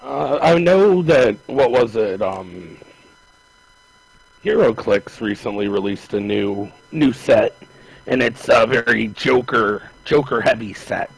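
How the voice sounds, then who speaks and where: A man's voice: medium pitch, animated, slow, vocal fry, slightly rough, no room sound, poor audio. A man co-hosting a casual podcast, talking in a rambling, hesitant way with many restarts. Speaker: podcast co-host. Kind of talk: casual chat.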